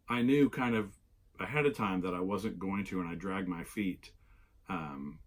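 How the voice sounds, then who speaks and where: A low, husky-voiced man talks casually to his viewers. He is still a little hoarse after losing his voice, and he rambles, with plenty of ums and self-corrections. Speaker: knitting channel host. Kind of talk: casual solo vlog.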